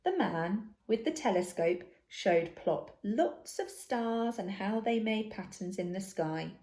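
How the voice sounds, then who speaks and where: Female storybook narrator: unhurried, deliberate, expressive, with animated intonation for young listeners. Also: mid-range voice. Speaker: storybook reader. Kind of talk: children's story narration, read aloud.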